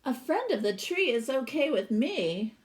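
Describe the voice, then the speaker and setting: An earnest storybook girl who writes poems, voiced by a woman reader. She speaks slowly in a fairly high voice, with a singsong, heartfelt lilt. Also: very animated. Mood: friendly. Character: earnest young girl poet who loves a tree.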